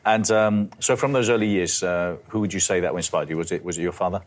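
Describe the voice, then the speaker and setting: A man's voice: deep voice, steady tone, fast. A man hosting an interview, earnest and hesitant, with many fillers as he speaks. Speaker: interview host. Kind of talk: intro then interview questions.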